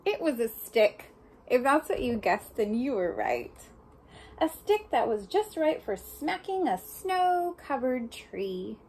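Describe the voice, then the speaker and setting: Female storybook reader for small kids: slow, very animated delivery with engaging questions, noticeable background noise. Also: a little high, poor audio. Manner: expressive, questioning the listener, speaking as to small children. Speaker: storybook reader for young children. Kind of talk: read-aloud of a picture book with asides and questions to children.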